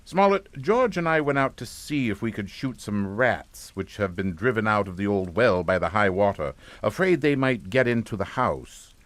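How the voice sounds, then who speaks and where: An actor playing a politely menacing upper-class English father in a radio suspense drama, speaking in a clipped, formal, deliberate way. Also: on the low side, expressive, fairly bright tone, no room sound. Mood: calm menace. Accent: British.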